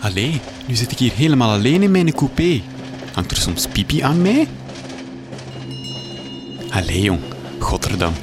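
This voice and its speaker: Male lead: mid-pitched, hesitant, naive young Flemish reporter. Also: very animated, unhurried, long pauses, music in the background. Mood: puzzled. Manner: hesitant, bewildered. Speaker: reporter hero. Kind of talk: comic radio play.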